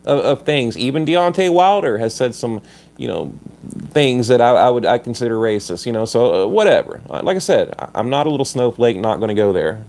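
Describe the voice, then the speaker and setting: Male livestream host, congested and a bit hoarse, blunt and combative, casual rant into a phone. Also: medium pitch, darkish tone. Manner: blunt and combative, with frequent restarts. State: stuffed up and a little hoarse. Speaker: livestream host reading viewer comments.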